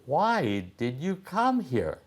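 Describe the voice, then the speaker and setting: A man's voice: on the high side, sing-song, slow, pitched above the performer's own voice, exaggerated voice. A man voicing a curious, easily annoyed young boy in a children's storybook read-aloud.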